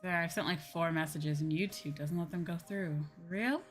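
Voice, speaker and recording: A woman's voice: fairly low pitch, moderate pace, soft background music. A woman livestreaming a game with casual commentary, chatting to viewers and rambling as she thinks aloud. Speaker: livestreamer playing a farming game.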